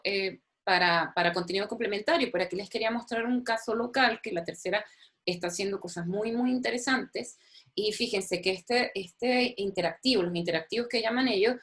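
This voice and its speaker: A woman teaches a recorded university lecture from her computer. She talks in a chatty, enthusiastic way. She often repeats words and uses many fillers. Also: medium pitch. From Chile. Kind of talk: recorded online lecture with screen sharing.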